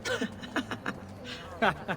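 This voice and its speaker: An actor playing a cocky, charming young Italian nobleman in a video game cutscene, with an Italian accent. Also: extremely slow. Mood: cocky, playful. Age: young adult.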